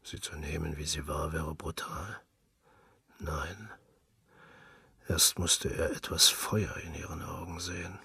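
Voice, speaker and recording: A man reading scripted literary narration in a husky, gravelly voice, with a measured, intimate storytelling manner. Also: rumbling low, little pitch movement, bright, forceful tone, no echo.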